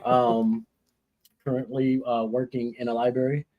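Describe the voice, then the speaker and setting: A man's voice: mid-range voice, flat delivery, a little slow, dull audio. A man from Indiana, an interview guest and entrepreneur, talking in an earnest, motivational way. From Gary, Indiana, United States. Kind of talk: interview answers with motivational pitch.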